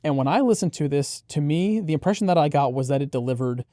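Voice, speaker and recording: A man co-hosting a product preview, explaining technical details in a measured, conversational way. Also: a little high, animated, warm tone, no room sound, clean studio sound.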